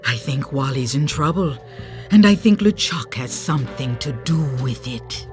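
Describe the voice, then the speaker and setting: A woman voicing a mysterious, knowing voodoo fortune teller in a pirate video game, measured and mystical. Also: very deep voice, animated, a little mellow, faint music behind. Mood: knowing, ominous.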